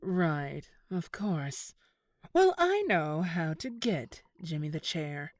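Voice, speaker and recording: A woman playing an unflappable reformed criminal ex-girlfriend in an audio drama, measured and dryly sardonic. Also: low-pitched, sing-song, a little mellow, no room sound, heavily acted. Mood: persuasive.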